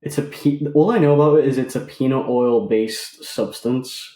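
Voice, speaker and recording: A man's voice: medium pitch, normal speed, warm tone, roomy sound. A twenty-six-year-old man from Ireland with a mixed Irish and English accent, speaking as a podcast guest in an easygoing, self-deprecating way with hesitant "um"s. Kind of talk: casual interview.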